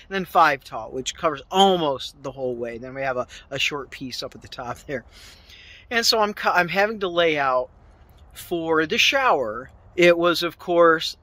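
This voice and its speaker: A man in his fifties talks casually into a phone held at arm's length, rambling along and laughing at his own slip-ups. His voice sits a little high and sounds bright and forceful. The sound is close and dry inside a small tool trailer. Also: no room sound. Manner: rambling, chuckling, self-deprecating. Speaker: part-time contractor. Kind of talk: casual walk-through vlog. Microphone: phone camera held at arm's length.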